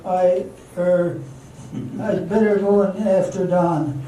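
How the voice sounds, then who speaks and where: An amateur actor playing a loyal, earnest friend who acts as peacemaker in a radio drama. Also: male voice, sits high, fairly even intonation, slow pace, very noisy. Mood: reproachful, uneasy. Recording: very echoey hall, distant mic, music behind.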